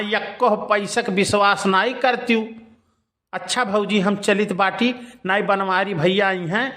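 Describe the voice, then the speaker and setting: A sly, tattling young village man in a cartoon comedy, voiced by a man in a high, bright tone. He drawls slowly in an Awadhi accent, and he is deferential to his elders.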